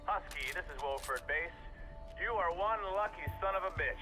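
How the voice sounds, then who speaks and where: An actor playing a cocky military radio operator in a video game, heard over radio. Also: male voice, high voice, lively intonation, moderate pace, music in the background.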